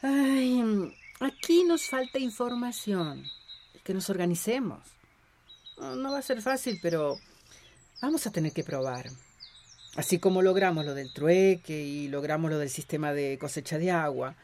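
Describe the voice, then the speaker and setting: A woman's voice: fairly low pitch, measured pace, a little hiss or hum. An actress in a radio drama playing a cheerful, practical village woman with a Central American accent, full of exclamations. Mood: calming, practical. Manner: expressive, exclamatory.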